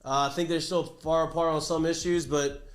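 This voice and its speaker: A man's voice: on the high side, level tone, normal speed. Nasal-sounding man hosting a roomy sports show. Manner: rambling, self-correcting, jokey. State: a little nasal from a cold. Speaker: podcast host. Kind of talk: casual sports talk show chat.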